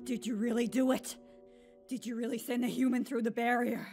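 A woman voicing a tough, hot-tempered female fish monster warrior in a comic dub, with a blunt, gruff delivery. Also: on the high side, level tone, fairly quick, a little mellow, faint music behind, close to an everyday voice. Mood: angry.

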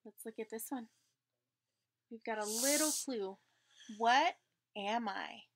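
A woman's voice: a little high, slow, background noise. A woman in her thirties reading a picture book aloud to children as a teacher, prompting with questions and wondering aloud. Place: indoors in front of a dark curtain.

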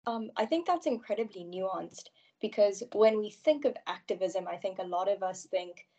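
A woman's voice: medium pitch, animated, moderate pace, warm tone. A woman with a South African accent moderating a panel, politely bringing in speakers and posing questions. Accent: South African English. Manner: polite, formal question-asking. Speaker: panel facilitator, chairperson. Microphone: video call. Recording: dull lo-fi video call audio.

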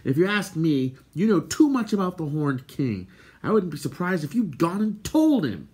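A man's voice: fairly high pitch, sing-song, moderate pace, darkish tone, voice pushed up. Man acting a hot-headed, indignant young lad. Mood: angry accusing. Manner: blunt, angry retorts. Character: hot-headed, indignant young male assistant pig keeper.

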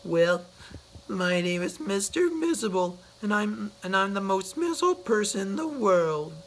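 A man voicing a gloomy, self-pitying character with a sad, squeaky, stammering voice. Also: very animated, moderate pace, fairly bright tone, slight background noise, full character voice, poor audio. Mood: miserable.

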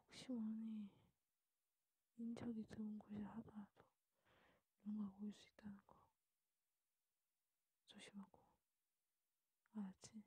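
Woman as cautious vampire schemer for ASMR role-play; dark, soft whisper, even and unhurried. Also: medium pitch, no room sound, rough recording. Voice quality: breathy, hushed whisper. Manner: unhurried, repetitive, conspiratorial.

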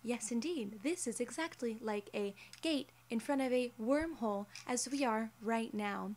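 A woman in her twenties performing an ASMR roleplay in a measured, deliberate and formally worded way, close to the mic. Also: a little high, a little mellow, a little hiss or hum. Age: 20s. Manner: measured, deliberate, formal phrasing as an alien gatekeeper. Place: small indoor room, seated in an armchair.

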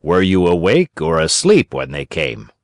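A man reading aloud voices a hearty farmer from a storybook, pleased with himself and kindly. The voice is low and lively in its swings, recorded dry and close. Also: a little slow, dry recording, full character voice. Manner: hearty and self-satisfied. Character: grown male farmer, gruff but pleased and kindly.

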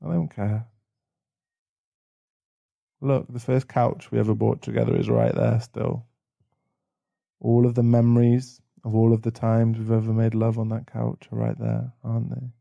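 A man playing a caring, protective boyfriend in an intimate ASMR roleplay, speaking softly and soothingly with halting pauses. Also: medium pitch, flat delivery, creaky, dry recording, dull audio. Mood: reassuring, loving. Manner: soft, soothing, halting, sighing. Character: caring, protective, shaken boyfriend comforting a partner. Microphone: close mic.

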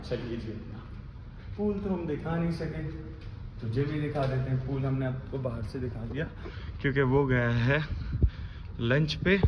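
A man's voice: medium pitch, in a large hall. A young man, chatting playfully as he vlogs a hotel tour on a handheld phone. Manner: chatty, playful, joking with his friend. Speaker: vlogger. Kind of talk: casual vlog narration. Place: hotel corridors and gym, indoors. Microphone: phone camera held by hand. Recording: dull phone audio with noisy background.

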